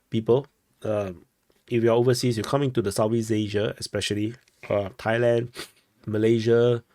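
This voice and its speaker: Low-voiced Singaporean man, halting commentary. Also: darkish tone, no echo, clean studio sound. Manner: halting, repeats and rephrases phrases. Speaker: host of a news commentary show. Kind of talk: informal news commentary.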